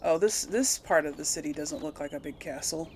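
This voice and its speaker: A woman's voice: sits low, brisk, darkish tone, a little hiss or hum. Chatty woman doing casual game commentary. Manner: chatty, thinking aloud. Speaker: gaming video host. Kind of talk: casual let's play commentary.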